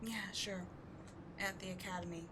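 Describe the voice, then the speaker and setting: A woman's voice: mid-range voice, fairly even intonation, normal speed, close to an everyday voice. A woman playing a bitter, wounded villain who secretly cares, sardonic and exasperated, in a dramatic ASMR audio roleplay. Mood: reluctant, weary. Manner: sardonic, exasperated, emotionally intense. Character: bitter, wounded female villain secretly caring for rival sidekick. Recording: clearly audible background noise.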